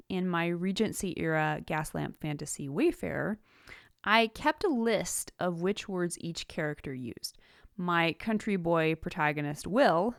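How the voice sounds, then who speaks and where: Smooth low-voiced woman narrating a lesson. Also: no room sound, clean studio sound. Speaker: writing podcast host and author. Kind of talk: scripted instructional narration.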